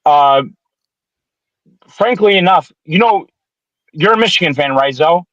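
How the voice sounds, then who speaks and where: A man in his twenties from the Detroit area, co-hosting a podcast in casual, profane chat, animated while he pitches advice. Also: unhurried, no room sound. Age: 20s. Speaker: podcast co-host. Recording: dull lo-fi sound.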